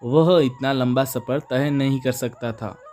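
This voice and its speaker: A man's voice: medium pitch, steady tone, a little slow, a little mellow, a little hiss or hum. A man narrating a scripted children's animal story as its storyteller. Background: music.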